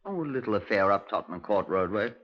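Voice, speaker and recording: Casting: British detective inspector, dry and authoritative, very varied intonation, dull vintage radio crime recording. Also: male voice, lowish voice, lively intonation, fast talker. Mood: dry, probing. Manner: clipped, polite, dryly authoritative. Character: dry, firm male Scotland Yard police inspector. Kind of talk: 1950s radio crime drama acting. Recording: muffled 1950s radio recording.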